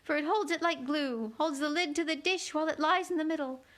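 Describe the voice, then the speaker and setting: A woman voicing a gentle, dotty queen with a soft cooing voice, reading a storybook aloud. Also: high voice, fairly quick, voice pushed up.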